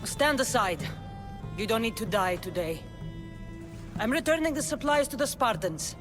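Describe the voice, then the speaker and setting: A woman acting as a tough mercenary for hire in a video game. She is blunt and commanding with a sardonic edge, and her pitch moves freely over prominent music. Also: expressive, normal speed, lightly acted. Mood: stern, commanding.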